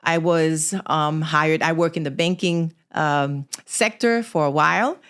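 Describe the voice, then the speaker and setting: A woman's voice: deep voice, measured pace, a bit bright, clean tone. A middle-aged woman from Haiti, telling her own story conversationally as a podcast guest. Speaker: podcast guest, small business founder.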